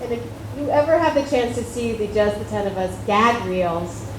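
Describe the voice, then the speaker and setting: Woman, middle-aged, chatty panel storyteller, roomy echo, steady background noise. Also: fairly high pitch, normal speed. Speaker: actress on a convention panel. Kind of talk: anecdotal panel talk. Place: convention hall panel. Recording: echoey hall with noisy house audio.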